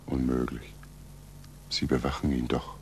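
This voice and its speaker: An actor playing a suspicious, prying watcher in a 1940s radio play. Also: male voice, a bass voice, flat delivery, a little slow. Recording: noisy 1940s radio recording.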